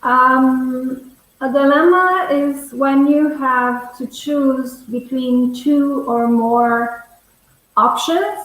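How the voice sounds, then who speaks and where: A young bilingual English and Italian woman from Italy, teaching in a conversational, measured, explanatory way on a video call. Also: high-pitched, steady tone, a bit bright. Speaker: English teacher, webinar host. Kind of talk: conversational online lesson. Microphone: earphone headset on video call. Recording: very echoey room, video call.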